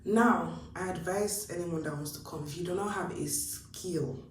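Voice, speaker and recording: A woman's voice: fairly low pitch, normal speed, slight background noise. Nigerian woman giving chatty advice, roomy echo. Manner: chatty and animated, acts out her own past thoughts. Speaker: vlogger. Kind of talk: casual advice talk to camera. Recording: roomy echo, mic a bit distant.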